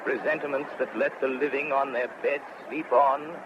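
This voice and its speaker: An actor playing a grave radio announcer, giving solemn, poetic live commentary as witness to a crowd. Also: male voice, medium pitch, fairly even intonation, normal speed, close to an everyday voice. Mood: grave, measured. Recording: dull, noisy old radio recording.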